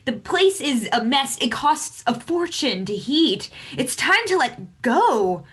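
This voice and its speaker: A woman's voice: high-pitched, sing-song, slow, a bit bright, slightly rough, full character voice. A young woman playing a pragmatic, defensive but caring older sister in a stage drama, speaking insistently and persuasively. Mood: coaxing, upbeat. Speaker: student actor. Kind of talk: naturalistic stage drama acting.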